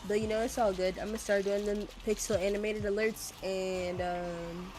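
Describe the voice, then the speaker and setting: Congested gal streaming, rambly and unsure, lil music in the back. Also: female voice, mid-range voice, little pitch movement, normal speed. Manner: rambling, hesitant, laughs often. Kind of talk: casual gaming stream chat.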